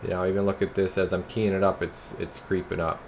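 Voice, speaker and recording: A man's voice: low-pitched, little pitch movement, brisk, slight background noise. A man giving a casual hands-on product demo in an informal, rambling way, talking to viewers as guys. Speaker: radio repairer and product reviewer.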